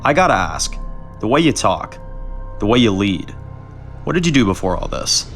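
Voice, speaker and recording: A man's voice: lowish voice, very animated, moderate pace, vocal fry, faint music behind. A man voicing an inquisitive fellow survivor. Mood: curious.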